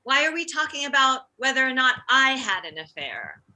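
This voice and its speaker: A woman acting an excitable, jealous, meddling middle-aged wife and mother in a comedy script read, blunt and needy. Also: high voice, normal speed, exaggerated voice. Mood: indignant, jealous.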